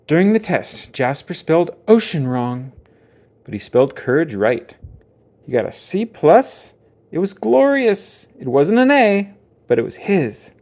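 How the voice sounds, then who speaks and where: A man narrating a children's book aloud as dramatic storytelling, with theatrical emphasis and suspenseful pauses. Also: fairly high pitch, big pitch swings, a little mellow.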